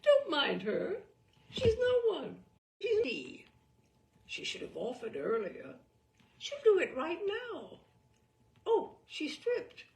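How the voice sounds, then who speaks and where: A woman's voice: high voice, wildly swooping pitch, takes it slowly, creaky, long pauses, a little mellow, reverberant, voice pushed up, exaggerated voice. A woman voicing an unhinged young woman who talks scornfully about herself in a sing-song manner. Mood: manic self-scorn. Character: unhinged young woman, self-mocking and fawning.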